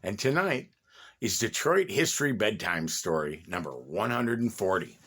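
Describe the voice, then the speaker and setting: A middle-aged American man hosting a conversational, storytelling history talk, phrasing deliberately with pauses and dropping in wry asides. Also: fairly low pitch, sing-song, fairly bright tone. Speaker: host and storyteller of a local history series. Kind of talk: conversational bedtime-story style history narration.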